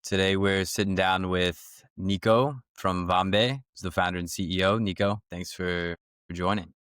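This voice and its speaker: A man with a very deep, smooth voice hosts the interview, easygoing and full of "like" and "um". The recording is dry and close-miked. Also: unhurried, dry recording. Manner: relaxed, filler-heavy, friendly questions. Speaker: podcast co-host. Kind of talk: casual interview hosting.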